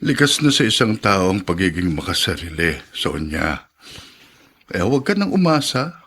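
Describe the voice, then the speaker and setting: A man's voice: low voice, big pitch swings, moderate pace, fairly bright tone, husky, exaggerated voice. A man playing a fatalistic, self-pitying husband in a radio drama, complaining melodramatically in a Filipino accent. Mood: bitter, glum. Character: bitter, fatalistic, self-pitying husband fallen on hard times.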